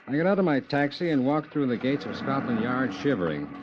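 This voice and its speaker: Casting: male actor as wry American narrator-visitor, terse, lively intonation, radio drama, hissy vintage sound. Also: mid-range voice, fairly quick, close to an everyday voice. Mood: wry, curious. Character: American visitor to Scotland Yard, plain-spoken, wry. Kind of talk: 1950s radio drama, first-person narration. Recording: noisy, muffled old radio recording.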